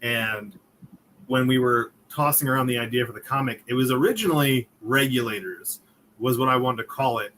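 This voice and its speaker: A man from Connecticut tells a story in relaxed interview chat, his voice bright and forceful. He acts out the other people's lines as he goes, and a faint noise sits under the recording. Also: medium pitch, moderate pace, low-quality recording. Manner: storytelling, chuckling, acting out quotes. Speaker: comic creator guest.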